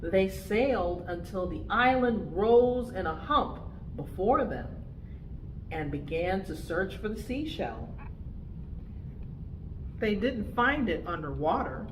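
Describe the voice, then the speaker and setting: A woman reading a picture book aloud to preschoolers, with expressive, deliberate phrasing. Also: mid-range voice, roomy sound, background noise. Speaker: storytime reader for preschoolers.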